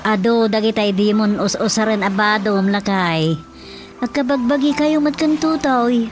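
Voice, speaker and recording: A woman's voice: fairly high pitch, normal speed, natural voice. An actress playing a practical, mildly fussing wife and mother with an Ilocano accent in a radio drama. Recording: prominent music under the dialogue.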